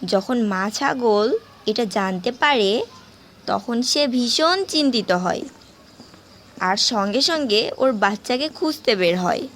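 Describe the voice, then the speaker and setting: A woman's voice: a little high, animated, slow, fairly bright tone, a little hiss or hum. A woman narrating a children's animal story in a scripted storybook style.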